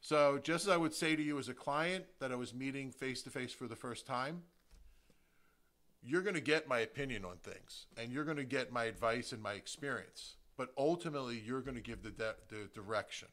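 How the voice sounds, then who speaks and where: A middle-aged man with an American accent presents a prepared podcast monologue. His voice is bright and forceful at a medium pitch, and he phrases things emphatically and deliberately. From New Jersey, United States. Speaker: podcast host, real estate agent. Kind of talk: prepared solo podcast introduction.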